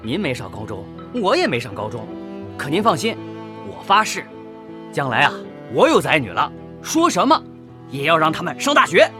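A man's voice: on the high side, wildly swooping pitch, moderate pace. An actor in a radio drama playing a sincere, dutiful teenage farm boy, earnest and resolute. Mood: reassuring. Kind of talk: Chinese radio drama acting. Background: music.

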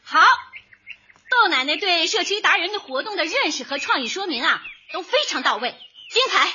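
A woman's voice: extremely high pitch, expressive, moderate pace, sharp tone, lo-fi sound, exaggerated voice. An actress playing an encouraging female community director who hosts a contest in a radio comedy, with formal presenter phrasing. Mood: upbeat, encouraging. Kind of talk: comic radio drama acting.

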